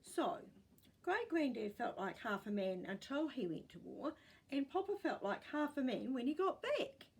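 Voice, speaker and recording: A woman's voice: high voice, big pitch swings, normal speed, husky, voice pushed up, heavily acted. A woman with a New Zealand accent voicing an earnest, principled boy in a children's storybook. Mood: thoughtful. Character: earnest boy, principled and loyal to his family.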